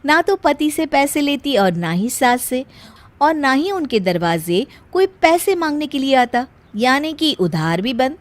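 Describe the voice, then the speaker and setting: A woman's voice: sits high, sing-song, measured pace. A woman storyteller reading scripted narration for a story.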